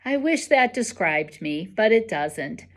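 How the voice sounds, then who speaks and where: Woman reading a kids novel out loud, slow pace, real lively up and down. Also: mid-range voice. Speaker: teacher reading aloud. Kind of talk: expressive children's novel read-aloud.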